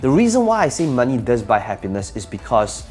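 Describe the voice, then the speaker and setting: A man whose first language is Cantonese delivers motivational advice in a slightly husky voice at a middle pitch. He speaks emphatically, answers his own rhetorical questions and hammers home key phrases, with soft music underneath. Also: sing-song, fairly quick. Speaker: entrepreneur giving advice. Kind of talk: motivational talk to camera.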